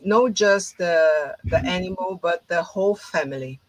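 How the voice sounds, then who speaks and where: Slow-spoken Brazilian woman host. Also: on the low side, no echo, low-quality recording. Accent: Brazilian Portuguese. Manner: hesitant non-native English with many fillers. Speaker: host, holistic therapist. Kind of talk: informal conversation.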